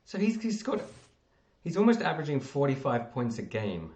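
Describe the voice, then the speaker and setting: A man's voice: medium pitch, wildly swooping pitch, moderate pace, a little mellow, echoey room, muffled recording. A man hosting a casual sports podcast in a jokey, informal way. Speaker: podcast host.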